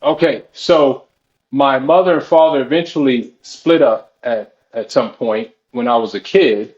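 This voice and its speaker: A man's voice: mid-range voice, a little slow, darkish tone, muffled recording. A man from New Orleans with a New Orleans accent, a podcast guest who talks hesitantly with many fillers. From New Orleans, Louisiana.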